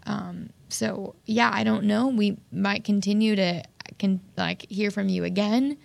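A woman hosting an interview podcast, speaking softly and hesitantly with many fillers. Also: mid-range voice, steady tone, unhurried, vocal fry. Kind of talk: casual interview chat.

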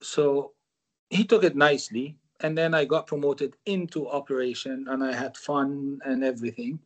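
A man's voice: medium pitch, fairly even intonation, moderate pace. Man telling career stories. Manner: reflective, repeats words for emphasis. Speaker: guest, former corporate manager. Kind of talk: storytelling interview answers.

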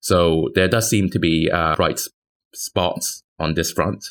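A man speaking as a financial journalist in a conversational industry discussion, halting and matter-of-fact. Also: deep voice, steady tone. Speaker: financial journalist co-hosting a podcast.

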